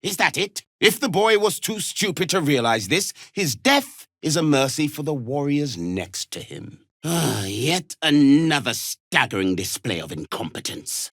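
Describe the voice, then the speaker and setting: An actor playing a harsh, imperious alien warrior instructor in a video game, scornful and given to clipped commands. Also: male voice, fairly high pitch, sing-song, a little slow, hardly any pauses, a bit bright, no room sound, clean studio sound, exaggerated voice. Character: harsh, contemptuous alien warrior instructor drilling young recruits. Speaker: combat trainer. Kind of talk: video game character acting.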